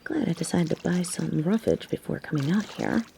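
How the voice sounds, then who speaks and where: A woman's voice: lowish voice, animated, normal speed, creaky, warm tone, slight background noise. A woman acting in an audio drama as a biker girl, a devoted human mate with a teasing, sarcastic way of talking. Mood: wry, friendly. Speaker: voice actor in an audio roleplay.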